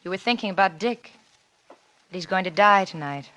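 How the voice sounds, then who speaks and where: Actress as bright-voiced American noir seductress. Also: female voice, mid-range voice, animated, moderate pace, lightly acted, low-quality recording. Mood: sweetly probing. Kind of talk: 1940s radio noir drama acting.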